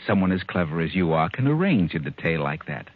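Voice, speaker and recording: A man's voice: deep voice, sing-song, fairly quick. A man playing a smug, sinister narrator in a 1940s radio mystery, on a muffled vintage recording. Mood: smug, coaxing. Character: smug, sinister male narrator goading a story writer.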